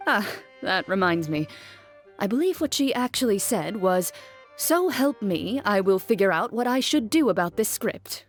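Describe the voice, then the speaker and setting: A woman playing a composed, reserved, dry-witted character in an English fantasy video game, speaking in a measured, understated way. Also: medium pitch, big pitch swings, soft background music. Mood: calm, wry.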